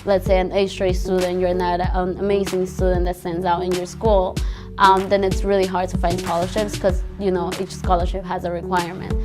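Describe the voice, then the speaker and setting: A woman's voice: fairly low pitch, little pitch movement, a little fast, over music. A young woman from Ecuador speaking thoughtfully in a documentary interview. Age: young adult. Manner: thoughtful, with fillers like "you know".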